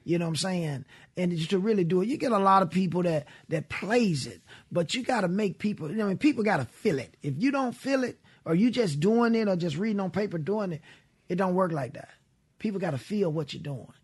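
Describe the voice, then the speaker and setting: Raspy middle-aged man. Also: sits high. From Mississippi. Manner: relaxed storytelling with Southern grammar and drawn-out pauses. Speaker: guest blues musician. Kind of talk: conversational radio interview.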